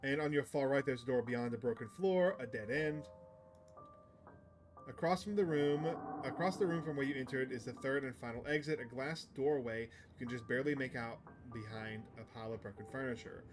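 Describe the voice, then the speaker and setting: A man's voice: mid-range voice, fairly quick, over music. A man live streaming a game, chatting to his viewers and reading the on-screen text aloud. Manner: chatty, addresses stream chat.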